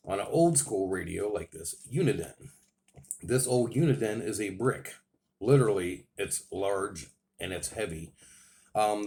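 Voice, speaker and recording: A man's voice: fairly low pitch, a little mellow, a little hiss or hum. Enthusiastic American man reviewing gear. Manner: enthusiastic, plain-spoken. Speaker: radio hobbyist and video reviewer. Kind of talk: casual product review and radio check.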